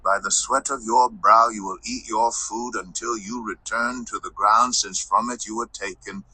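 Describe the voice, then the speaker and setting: A man reading scripture aloud in a measured, formal delivery. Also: lowish voice, fairly even intonation, pushed and bright, breathy edge, no echo, poor audio.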